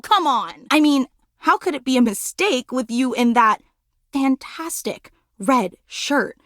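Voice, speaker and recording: A woman voicing a bubbly, devoted superfan in a video game, with an excitable, dramatic, slangy way of gushing. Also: on the high side, no echo, studio quality. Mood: hurt, annoyed. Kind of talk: video game character voice acting.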